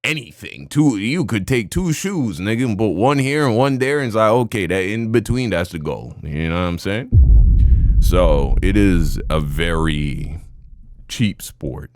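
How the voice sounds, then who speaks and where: A young man from Jamaica with a low voice co-hosts a reaction chat. He talks brash and slangy, and his pitch swings widely up into loud shouts. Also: animated, measured pace, no room sound. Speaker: reaction co-host. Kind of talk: crude casual reaction chat.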